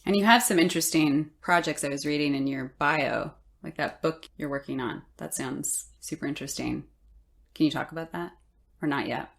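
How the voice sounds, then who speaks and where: A woman's voice: sits low, normal speed, gravelly. A woman, hosting a podcast with both scripted introductions and conversational interviewing. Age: 40s. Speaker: podcast host.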